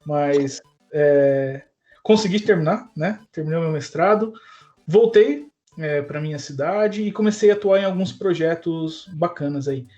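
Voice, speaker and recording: A man's voice: on the high side, unhurried, highs cut off, faint music behind. Laid-back slangy Brazilian man. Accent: Brazilian Portuguese. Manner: relaxed, slangy, lots of fillers. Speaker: podcast co-host. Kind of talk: casual podcast chat.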